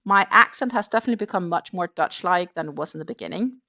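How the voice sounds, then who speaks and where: A woman chats casually on a crochet livestream. Her voice sits a little low, and she keeps up an easygoing patter as she reads out viewer comments. She mutters stitch counts to herself between sentences. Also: expressive, fast talker, sharp tone, highs cut off. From Denmark. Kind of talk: casual chat while crocheting.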